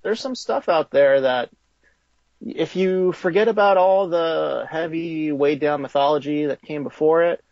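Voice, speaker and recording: A man's voice: on the high side, unhurried, creaky, a little mellow, no room sound, dull audio. A man being interviewed about his toy company, talking in a casual, conversational way.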